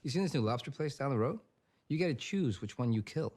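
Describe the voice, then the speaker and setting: A man's voice: medium pitch, animated, fast talker, darkish tone, natural voice. An actor playing an arrogant, self-assured male champion racing driver, talking offhand in a documentary-style drama. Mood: offhand, smug.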